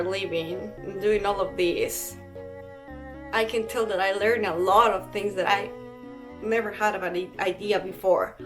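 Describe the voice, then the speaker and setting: A young woman from Ecuador with an Ecuadorian Spanish accent, telling a rambling casual storytime vlog full of fillers and restarts. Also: mid-range voice. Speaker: vlogger.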